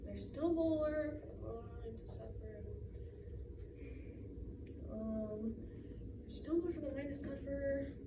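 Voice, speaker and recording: A woman playing a frazzled mother in a stage monologue, reciting Shakespeare distractedly and breaking off to yell orders at children. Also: sits high, slow, pauses often, voice pushed down. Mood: frazzled, alarmed. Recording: roomy, distant mic, faint noise.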